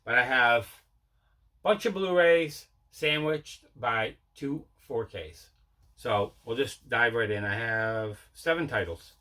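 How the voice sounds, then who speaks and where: Male vlog host, bright forceful voice, relaxed rambling unboxing chat with repeats. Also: medium pitch, slow pace. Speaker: video host showing mail and movie collection.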